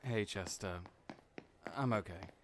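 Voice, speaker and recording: A man playing a kind, gentle friend in an audio roleplay, who speaks hesitantly with frequent fillers. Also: lowish voice, takes it slowly, slight background noise.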